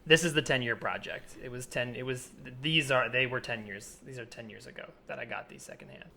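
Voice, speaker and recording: A man's voice: medium pitch, steady tone, brisk, vocal fry, sharp tone. A man improvising a comedy scene as a sheepish, obsessive man asking a favour, rambling and hesitant. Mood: obsessive, pleading. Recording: remote recording with faint background noise.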